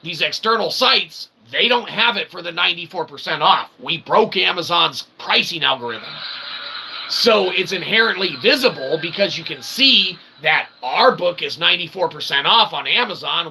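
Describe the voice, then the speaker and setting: A man's voice: fairly high pitch, gravelly, sharp tone, slight background noise, low-quality recording. A man delivering a casual, indignant rant to viewers as an independent author and host, emphatic and sweary, with wordy mock-formal phrasing and repeated tag questions. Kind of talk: casual ranting monologue to viewers.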